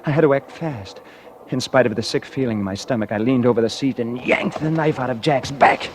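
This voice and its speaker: A man's voice: medium pitch, brisk, noisy background, natural voice. An actor playing a cold, calculating murderer who narrates his own crime in a confiding, suspenseful 1940s radio thriller style. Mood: tense, panicked. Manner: confiding, suspenseful, hard-boiled. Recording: vintage radio recording with dramatic music.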